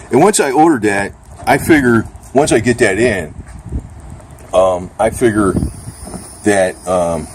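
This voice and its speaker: A man chatting casually and unscripted as he reviews gear, rambling bluntly with salty slang, outdoors under a carport. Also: low voice. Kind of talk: unscripted casual product chat. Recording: noisy outdoor recording with ambient hum.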